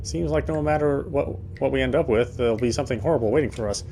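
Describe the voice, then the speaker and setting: A man's voice: mid-range voice, quick pace, dark tone, a little hiss or hum. A man reading a horror game's first-person narration aloud in a dramatic storytelling style. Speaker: let's player reading game text aloud.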